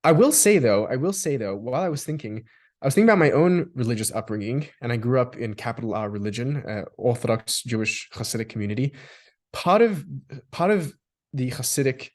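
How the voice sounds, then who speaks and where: A man's voice: medium pitch, animated, fairly quick. Thoughtful man with many restarts. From Australia. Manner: thoughtful, restarts and repeats words while building long sentences. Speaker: guest. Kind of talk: reflective conversational discussion.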